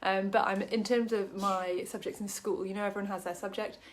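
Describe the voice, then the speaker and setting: A woman from Wales talks through a short Bible passage in a relaxed, chatty way. She fills her sentences with ums and drops in small jokes about herself. Her voice sits at an ordinary middle pitch. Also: a little fast, slight background noise. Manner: chatty, many ums, self-deprecating jokes. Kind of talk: casual Bible study talk to camera.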